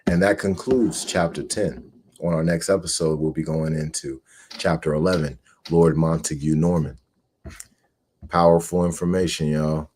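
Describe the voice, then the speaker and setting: A man in his forties from the United States, hosting a show where he reads a book aloud and comments on it with measured, earnest delivery. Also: extremely low pitch, fairly even intonation, a little mellow, a little hiss or hum. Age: 40s. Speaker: host.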